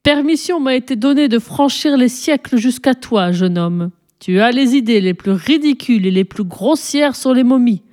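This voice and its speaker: A woman's voice: medium pitch, expressive, normal speed, warm tone, lightly acted. A woman voicing a solemn, pompous male pharaoh mummy in a storybook, with majestic, declamatory delivery. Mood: stern, haughty. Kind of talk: storybook reading, character dialogue.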